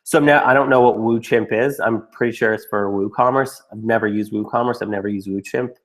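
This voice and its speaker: A man getting over a cold, a little raspy and snuffly, answering student questions in a casual, frank Q&A. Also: fairly low pitch, fairly even intonation, fairly quick. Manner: blunt, frank, with mild swearing and restarts. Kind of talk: casual Q&A talk.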